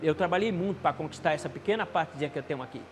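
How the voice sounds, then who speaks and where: Earnest, higher-pitched northeastern Brazilian man. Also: rapid-fire. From rural Ceará, northeastern Brazil. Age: 50s. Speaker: snack bar co-owner. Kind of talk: confessional interview to camera. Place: indoor restaurant, seated interview.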